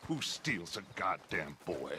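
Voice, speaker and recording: An actor playing a commanding, grandiose American outlaw leader in a video game, speaking in a deliberate, oratorical and menacing way over background noise. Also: male voice, low-pitched, big pitch swings, bright, forceful tone, heavily acted. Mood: rallying, grim. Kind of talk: video game cutscene acting.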